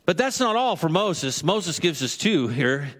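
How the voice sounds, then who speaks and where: A man's voice: fairly high pitch, very animated, unhurried, a bit bright. A man preaching a conversational sermon to a congregation, now and then acting out the voices of figures he quotes. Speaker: pastor. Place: church sanctuary. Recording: long hall reverb.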